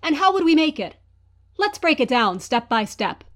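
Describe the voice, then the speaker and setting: A woman's voice: high-pitched, sing-song, moderate pace. A woman presenting a quiz segment as a guest, explaining step by step. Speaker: guest Foley artist.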